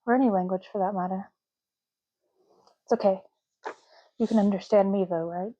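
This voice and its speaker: A woman speaking softly in an ASMR roleplay, hushed, unhurried and gently explanatory, close to the microphone. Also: mid-range voice, fairly even intonation, no room sound, muffled recording. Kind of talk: soft-spoken ASMR roleplay.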